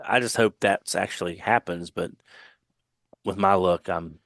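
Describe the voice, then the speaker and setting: A man's voice: low-pitched, fairly even intonation, unhurried, vocal fry, a bit bright, dry recording, studio quality. A man in a casual podcast chat, talking in a cautious, worried way.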